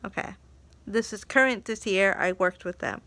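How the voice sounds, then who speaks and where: A woman's voice: medium pitch, normal speed, bright, forceful tone, no room sound. A young woman telling a story from her day in a casual vlog. She laughs often and acts out what people said. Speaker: English teaching assistant.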